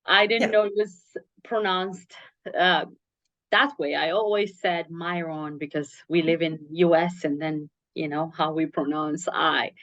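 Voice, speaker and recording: A woman's voice: low-pitched, steady tone, measured pace, fairly bright tone, no room sound. A woman hosting a casual interview, responding in an appreciative, reflective way, recorded in slightly dull, lo-fi audio. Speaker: interview host.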